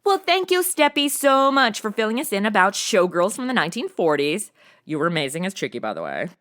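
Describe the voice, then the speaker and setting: A woman acts out a perky young female host of a comedy interview segment. Her pitch swings in a lively way, and she talks in casual valley-girl slang with youthful bounce. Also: a little fast. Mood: cheerful. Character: bubbly slangy modern young woman hosting history segment. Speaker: podcast host.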